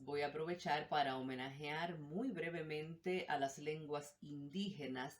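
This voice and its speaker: Woman teacher, lower pitch, steady explanatory read with emphasis on examples. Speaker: language teacher. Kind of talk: explanatory lesson.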